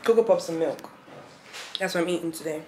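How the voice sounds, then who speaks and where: A woman's voice: on the low side, fairly quick, reverberant, background noise. Chatty young woman vlogging on a phone. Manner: chatty, rambling, laughs often. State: tired. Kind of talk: casual vlog chat to camera. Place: indoors at home, bedroom. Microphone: phone held at arm's length.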